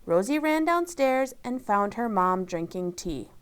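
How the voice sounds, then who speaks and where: Slow, clear woman reading a children's story. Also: mid-range voice, very animated. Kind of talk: scripted children's story narration.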